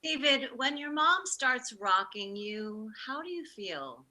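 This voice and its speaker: A brisk, blunt therapist in her fifties played by a woman reading an animated comedy script, with a very bright, forceful voice. She is probing and matter-of-fact. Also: sits high, full character voice. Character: brisk blunt fifty-year-old female family therapist. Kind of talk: live table read of an animated comedy script.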